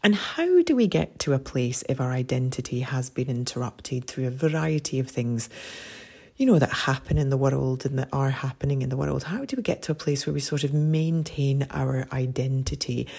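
A middle-aged Scottish woman hosting a podcast, talking in an earnest, rambling way with many fillers, her voice deepened and husky after a late night. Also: lively intonation, moderate pace, a little mellow. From Scotland. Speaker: podcast host and somatic coach. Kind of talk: reflective solo podcast talk.

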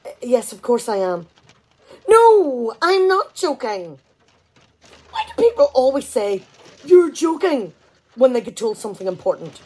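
An animated, exasperated, high-voiced wife. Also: female voice, measured pace, pauses often, husky, heavily acted. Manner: animated, sarcastic, indignant rhetorical questions. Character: exasperated, sarcastic, talkative wife at a kitchen table. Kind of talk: radio play comedy dialogue.

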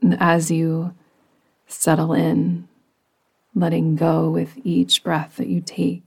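A woman guiding a relaxation meditation in a soft, breathy, partly whispery voice with a soothing, gentle, unhurried manner. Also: sits low, completely flat delivery. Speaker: yoga nidra meditation guide.

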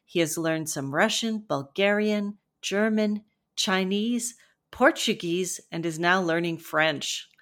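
A woman's voice: lowish voice, slow pace, a bit bright. A woman from western Canada with a West Coast Canadian accent, hosting a language-learning podcast and explaining things in a friendly, conversational way. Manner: friendly, explanatory, acts out example phrases. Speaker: podcast host and ESL teacher.

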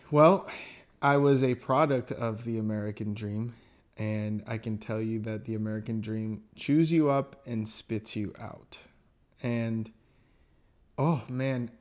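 A man's voice: mid-range voice, darkish tone. A young man co-hosting a casual faith podcast, speaking bluntly and with passion, often restarting his phrases. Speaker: podcast co-host.

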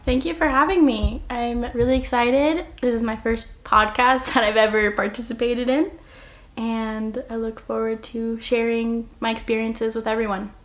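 A woman's voice: on the high side, fairly even intonation, normal speed. A woman in her twenties from Oregon, answering a podcast interview in an earnest, reflective way and laughing easily. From Oregon, United States. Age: 20s. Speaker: podcast guest. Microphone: single shared microphone.

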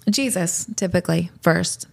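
Candid, low-pitched host nearing fifty. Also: female voice, normal speed, creaky. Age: 40s. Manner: candid, reflective, laughs easily. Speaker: podcast host. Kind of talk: casual rapid-fire Q&A.

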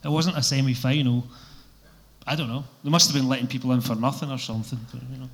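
A man's voice: medium pitch, fairly even intonation, fast. A Scottish man in his fifties, a record label boss guesting on a live panel, telling stories in a rambling, casual way. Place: live event venue.

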